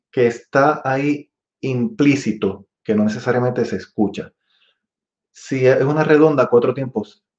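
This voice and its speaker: A man in his forties with a Puerto Rican accent, teaching an online music masterclass in a relaxed, chatty way, singing rhythms with syllables. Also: fairly low pitch. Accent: Puerto Rican Spanish. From Puerto Rico. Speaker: trumpet teacher. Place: room with green wall. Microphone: webcam at desk. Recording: dull lo-fi webcam audio.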